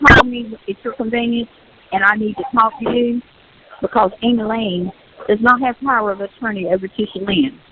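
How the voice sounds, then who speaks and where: Tinny phone-line Southern woman, higher-pitched. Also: fairly even intonation, moderate pace, a crowd in the background. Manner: insistent, repeats phrases for emphasis. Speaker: relative on a phone call. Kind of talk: personal phone conversation, venting. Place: recorded prison phone call.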